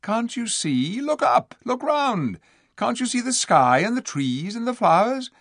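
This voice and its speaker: A man voicing a gentle young girl queen in a storybook reading, earnest and pleading. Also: medium pitch, big pitch swings, unhurried, breathy edge, no room sound, studio quality, full character voice.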